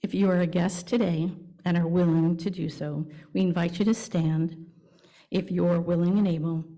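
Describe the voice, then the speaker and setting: A woman leading a church service, reading a welcome and announcements to the congregation in measured, deliberate phrases with pauses. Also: fairly low pitch, steady tone, dark tone. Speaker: worship leader at a church service.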